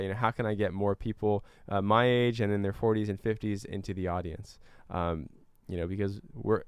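A man's voice: fairly low pitch, clean tone, dry recording, clean studio sound. A man giving earnest, explanatory answers as a guest in a conversational interview. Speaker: climate educator and guest.

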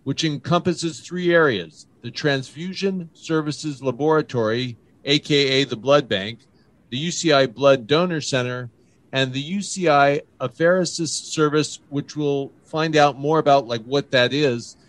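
A man's voice: a little high, measured pace, dry recording. A man hosting a radio talk show, with an upbeat, emphatic announcer delivery as he interviews a guest.

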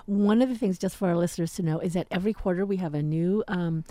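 A woman's voice: lowish voice, quick pace, dark tone, dry recording. An American woman hosting a radio show, chatting casually and informally. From United States. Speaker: radio host. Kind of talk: casual interview chat. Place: radio studio.

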